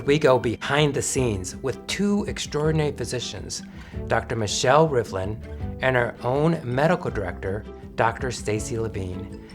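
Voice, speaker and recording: A man hosting a podcast, reading a scripted introduction in a smooth, announcer-like way before turning friendly with his guests. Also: medium pitch. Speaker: podcast host.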